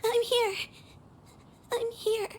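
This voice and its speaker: Casting: devoted little girl in game drama, tiny, very high voice, tender and earnest, faint rumble behind. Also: flat delivery, very slow, heavily acted. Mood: tender, worried. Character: sweet, devoted young granddaughter, small child. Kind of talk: dramatic video game voice acting.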